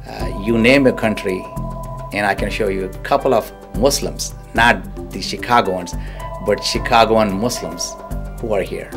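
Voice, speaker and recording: A man with a low, gravelly voice speaks earnestly in a documentary interview, stumbling and restarting his phrases as he goes. Music plays clearly beneath him. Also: unhurried.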